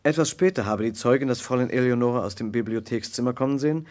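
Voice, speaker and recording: An actor playing an earnest young American lawyer who narrates a murder case in a measured, precise way in a vintage German radio play. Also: male voice, mid-range voice, a little mellow, lightly acted. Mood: measured, grave.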